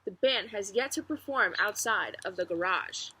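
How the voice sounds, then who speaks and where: Bright-voiced woman reading a children's book. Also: medium pitch, animated, moderate pace, slightly rough, poor audio. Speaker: read-aloud narrator. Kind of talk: expressive children's book read-aloud.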